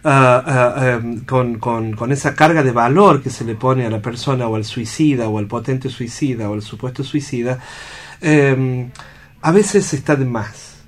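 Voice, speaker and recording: Thoughtful Argentine man, halting mid-pitched voice. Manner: thoughtful, halting, seeks agreement with tag questions. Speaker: radio panelist. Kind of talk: reflective unscripted discussion.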